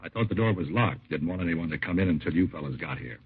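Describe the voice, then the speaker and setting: On a tinny old radio show, a plainspoken male car lot owner. His low, heavily husky voice moves up and down in a lively way, chatting in short folksy sentences. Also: quick pace, full character voice. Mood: polite. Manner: folksy, clipped sentences dropping subjects. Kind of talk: 1950s radio drama acting.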